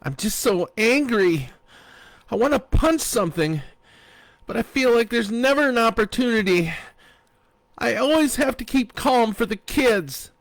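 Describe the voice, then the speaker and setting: A man voicing a furious, betrayed wife who curses and threatens in a harsh, cutting way, pitching his voice up high. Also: animated, measured pace, dry recording, full character voice. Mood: venting. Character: furious betrayed wife, a woman, blunt and foul-mouthed.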